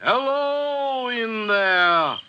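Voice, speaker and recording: A man's voice: extremely high pitch, animated, drawn-out words, highs cut off, slight background noise, full character voice. An actor playing a professor and showman illusionist in a 1940s radio mystery, declaiming with theatrical emphasis on a vintage recording. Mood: commanding, theatrical.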